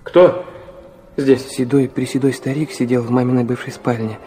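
An actor playing an intense, proud young man weakened by illness, narrating and speaking earnestly and haltingly in a literary audio drama. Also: mid-range voice, fairly even intonation, mellow, lightly acted. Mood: startled, observant. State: weak from illness.

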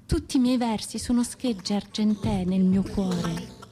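A woman performs an exiled Russian poetess in a radio play, anguished and proud. She declaims with passion at a middle pitch, moving between pleading and defiance. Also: measured pace, noisy background, lightly acted. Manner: impassioned, declamatory. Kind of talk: theatrical radio monologue.

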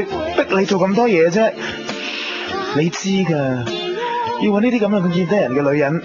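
A man acting a smooth-talking, defensive young man in a Hong Kong Cantonese radio drama, emphatic and coaxing. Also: fairly high pitch. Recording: dull lo-fi, loud music behind.

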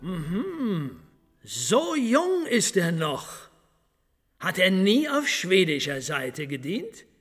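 Casting: wily fortress commandant, period radio drama, high and animated yet unhurried, menacing courtesy, long room reverb. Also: male voice, soft background music, full character voice. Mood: probing, curious. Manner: deliberate, hesitant pauses with hums, threatening politeness.

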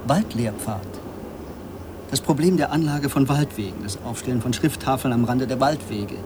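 An actor playing an officious, evasive conference organizer with a wordy, self-important manner in a radio drama. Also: male voice, mid-range voice, animated, moderate pace, close to an everyday voice. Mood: fussy, insistent. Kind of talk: German radio drama dialogue. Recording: clear background noise.

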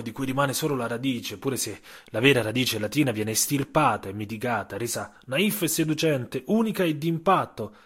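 A male narrator reads a scripted literary story for radio. His mid-pitched voice is a little husky. He phrases with care, leaning on key words and leaving dramatic pauses between them. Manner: measured, dramatic pauses and emphasis. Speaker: writer and narrator of an audio story.

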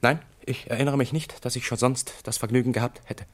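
A respectable male official played by a man in a radio drama, formal and stiff. His medium-pitched voice keeps a correct, easily affronted politeness. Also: fairly even intonation, a little fast, close to an everyday voice. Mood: formal, puzzled. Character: stiff, indignant, respectable legation councillor, male. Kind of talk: German radio play acting.

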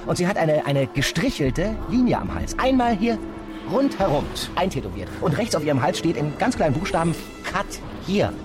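An actor playing a stubborn, irritable police detective in a radio play, blunt and scornful. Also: male voice, on the high side, big pitch swings, over music. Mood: incredulous. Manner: blunt and scornful, quick to anger. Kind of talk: German radio play acting.

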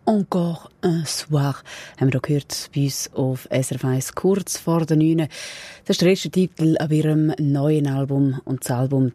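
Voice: mid-range voice, dark tone, dry recording. Swiss German radio presenter, dry studio sound. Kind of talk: casual radio music moderation.

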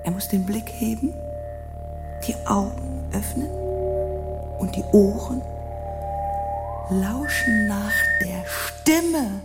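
A woman telling a scripted radio-drama story in a deliberate, suspenseful manner, with music behind her. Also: medium pitch. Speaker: narrator.

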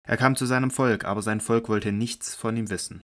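Deep-voiced male scripture narrator. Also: fairly even intonation. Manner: measured, solemn reading.

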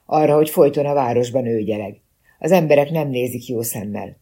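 A stoic small-town police chief , as a male narrator reads him, speaks bluntly in a dark, husky voice. He is unruffled and authoritative, a detective audiobook figure. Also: medium pitch, close to an everyday voice. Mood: matter-of-fact.

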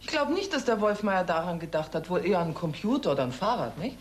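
A woman's voice: fairly low pitch, sing-song, quick pace. A woman playing a kindly, sensible homemaker in a drama. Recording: echoey, noisy room.

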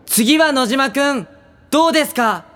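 A man playing a gentle, self-doubting high school boy in dialogue and first-person narration for an audio drama. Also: expressive, moderate pace, loud music behind the voice. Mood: hesitant.

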